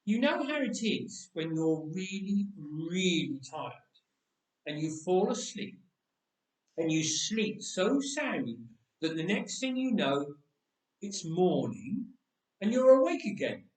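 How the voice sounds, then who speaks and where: A man's voice: high voice, very animated, slow pace, exaggerated voice. A man playing a chatty, wry young man of Bible times who tells of being raised from death, in a dramatic first-person monologue. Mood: wry, wondering. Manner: conversational, wry understatement. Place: church, voice echoing. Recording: roomy church echo, distant microphone.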